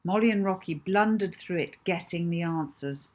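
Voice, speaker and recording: Low, husky woman storyteller. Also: moderate pace, a little mellow. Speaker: author reading own book, narrator. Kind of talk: expressive children's audiobook narration.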